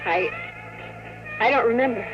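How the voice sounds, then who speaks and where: A muffled old radio recording holds a frightened young woman played by an actress. Her voice is slightly high. She is hesitant, earnest and pleading, in the manner of 1950s radio drama. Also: measured pace, music in the background, lightly acted. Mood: anxious pleading. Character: nice, frightened young woman hiding a secret.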